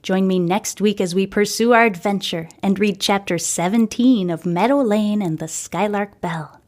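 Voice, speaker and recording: A woman's voice: mid-range voice, moderate pace, darkish tone. A woman hosting a story podcast, reading scripted fiction narration.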